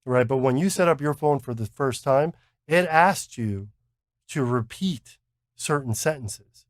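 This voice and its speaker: A man's voice: mid-range voice, a little slow, no echo, studio quality. A man explaining technology in a casual chat, stammering, repeating words and checking in with "right?". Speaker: podcast co-host explaining technology.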